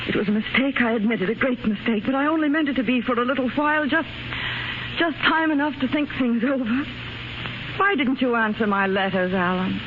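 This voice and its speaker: A woman's voice: a little high, brisk, lightly acted. An actress playing a desperate estranged wife and mother, impassioned and pleading, in 1930s radio drama style. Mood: bitter reproach. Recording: noisy muffled 1930s radio recording.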